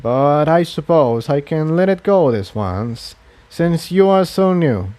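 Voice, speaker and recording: A man voicing an elderly male pony priest, gently stern and soft-spoken, in a storybook reading. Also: a little high, animated, slow pace. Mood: stern, then welcoming.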